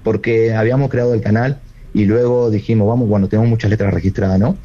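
Argentine man, low mellow voice, hesitant phone guest, muffled line. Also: fairly even intonation. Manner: hesitant, halting, with many fillers and restarts. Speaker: guest songwriter, plumber. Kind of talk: phone interview.

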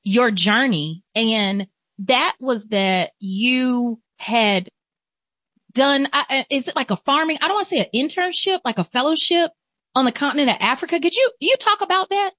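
Higher-voiced American woman hosting radio. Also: animated, normal speed, fairly bright tone, no echo. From Atlanta, Georgia, US. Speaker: interview show host. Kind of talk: conversational radio interview.

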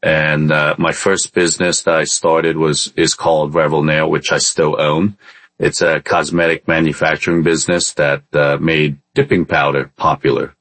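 A man's voice: a bass voice, little pitch movement, normal speed, fairly bright tone, no room sound. A man from Vietnam who moved to the US as a child, telling his story casually as an interview guest, hesitating often. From born in Vietnam, in the US from age ten. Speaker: podcast guest, entrepreneur.

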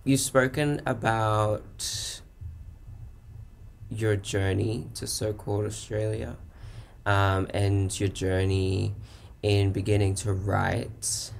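A man hosting an interview, reading an introduction and asking questions in a slow, measured way. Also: on the low side, flat delivery, creaky, a little hiss or hum. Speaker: podcast host.

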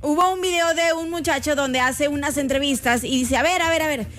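A woman with a Mexican accent co-hosting a radio show, teasing and animated. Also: high voice, fairly quick, faint music behind. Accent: Mexican Spanish. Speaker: radio co-host. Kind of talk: lively radio banter.

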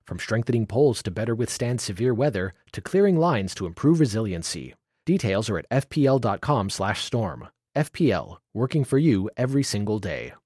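A man's voice: on the low side, very animated, fairly quick, darkish tone, dry recording, clean studio sound. A man reading sponsor announcements for a radio show in a polished way.

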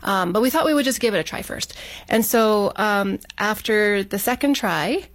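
A Canadian woman in her thirties, a radio guest telling her family story in a lively way and acting out her own thoughts. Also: medium pitch, moderate pace, no room sound. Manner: lively, animated retelling with quoted thoughts. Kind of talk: interview storytelling.

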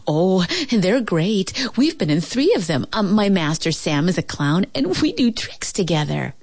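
A woman's voice: lowish voice, big pitch swings, unhurried, a little mellow, no echo, full character voice. A woman voicing a cheerful, scruffy little male dog in a storybook, chirpy, eager and friendly.

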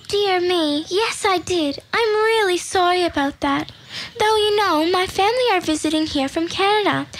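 A woman's voice: extremely high pitch, slight background noise, voice pushed up, low-quality recording. A woman voicing a polite, earnest, chatty little girl in a children's story. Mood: sorry.

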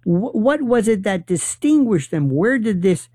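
Halting, animated man with a mellow voice. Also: sits high, dry recording, studio quality. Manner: animated, halting, many restarts and fillers. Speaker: host. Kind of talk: casual conversation.

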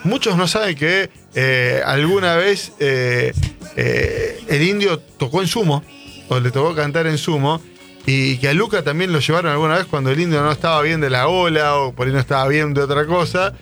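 A man in his forties from Argentina speaks with a Buenos Aires accent. His voice sits a little high and has a pronounced fry. He tells loose, casual anecdotes, wandering and pausing to hunt for names. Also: fairly bright tone, soft background music. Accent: Argentine Buenos Aires Spanish. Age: 40s. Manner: rambling, searching for names. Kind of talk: casual anecdotal radio chat.

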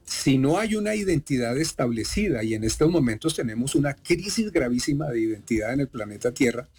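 A man's voice: medium pitch, animated, brisk, a little mellow, husky. A middle-aged man from Colombia with a Bogotá accent, a doctor explaining at length and with emphasis as an interview guest. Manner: lecturing, emphatic, digressive.

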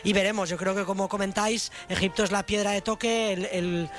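A male football analyst speaks live on radio in a high voice. He halts and doubles back on words, over clearly audible music. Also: fairly even intonation, fairly quick, fairly bright tone.

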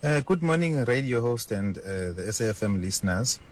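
A man leaving a polite voice note for a radio show, speaking slowly and deliberately. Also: medium pitch, lively intonation, noisy background. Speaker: caller.

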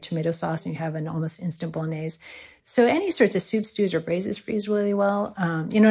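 A woman with a gravelly, slightly low voice speaks as a food expert. She gives casual advice in a conversational way, her tone husky and full of fry. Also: darkish tone. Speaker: food expert answering callers.

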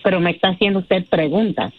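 A woman's voice: fairly low pitch, fast. A woman on a phone call, suspicious and curt with the caller. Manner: wary, then sharply annoyed. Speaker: prank call target. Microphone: phone line.

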